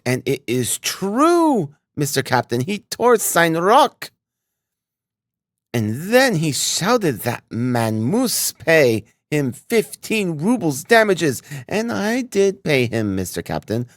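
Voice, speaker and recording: A man's voice: a little high, no room sound, studio quality, voice pushed up, heavily acted. A man voicing a fawning, indignant landlady with a German accent who patters on. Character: fawning middle-aged German landlady, chattering.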